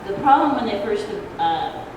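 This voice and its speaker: A soft-voiced woman giving an informal explanatory talk at a public forum, speaking in a halting, hesitant way. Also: medium pitch, sing-song. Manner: halting, hesitant, loses her thread. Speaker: ballot measure proponent, debate speaker. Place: community grange hall. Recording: echoey hall, distant noisy microphone.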